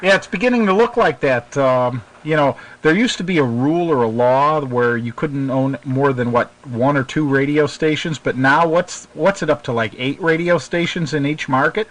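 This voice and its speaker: A man's voice: on the high side, moderate pace, hardly any pauses, a bit bright, low-quality recording. An American man hosting a radio talk show in a casual, conversational way, hesitant and agreeable.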